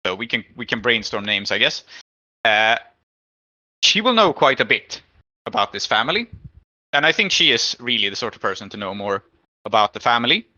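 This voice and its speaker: A man's voice: medium pitch, pushed and bright, muffled recording. A man running a tabletop game as game master, improvising the story and thinking aloud as he goes.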